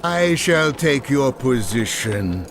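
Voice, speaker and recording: A man voicing a huge, arrogant demon lord in a game cinematic, sneering theatrically with drawn-out words. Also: mid-range voice, sing-song, fairly bright tone, slight background noise. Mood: mocking, menacing. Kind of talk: dramatic game cinematic acting.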